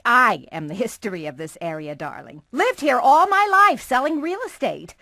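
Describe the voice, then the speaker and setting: Flamboyant, piercing, self-absorbed estate agent. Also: female voice, fairly high pitch, big pitch swings, normal speed, bright, forceful tone, no room sound, clean studio sound, full character voice. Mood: breezy. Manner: theatrical, affected, gushing.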